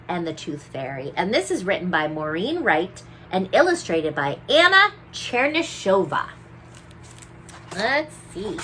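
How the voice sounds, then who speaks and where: A woman reading picture books aloud to children, expressive and playful, with chatty asides to her young listeners. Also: medium pitch, a little hiss or hum. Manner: playful, animated, talks directly to young listeners. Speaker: children's bedtime story reader.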